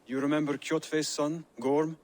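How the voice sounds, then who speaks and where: A man's voice: a little high, level tone, measured pace, darkish tone, natural voice. A man as earnest, formal informant. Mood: serious. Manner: careful, formal. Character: earnest, formal male informant of warrior brotherhood. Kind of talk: dramatic video game dialogue.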